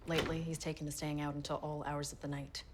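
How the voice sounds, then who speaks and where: A woman's voice: sits low, little pitch movement, fast talker, background noise, natural voice. Actress as a prim, disapproving churchgoing woman. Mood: scandalized. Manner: prim, scandalized. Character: prim, disapproving church-going sister in 1920s drama.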